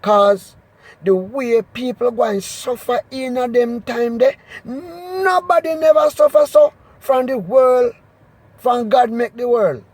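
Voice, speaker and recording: High-pitched Jamaican man reading scripture. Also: takes it slowly, darkish tone, no echo, poor audio. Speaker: Bible reader. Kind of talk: expressive scripture reading in Patois.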